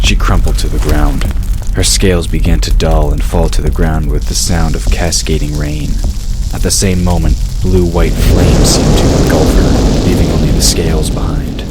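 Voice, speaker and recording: Very deep male fantasy narrator. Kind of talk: scripted fantasy story narration.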